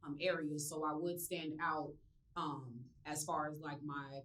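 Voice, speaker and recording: A young woman from California, a guest in a studio interview, telling her story in a relaxed, rambling way with many fillers. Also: low voice, a little slow, far from the mic, slight background noise. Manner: rambling, many ums and likes, relaxed and open. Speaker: interview guest, business owner. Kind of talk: casual interview chat.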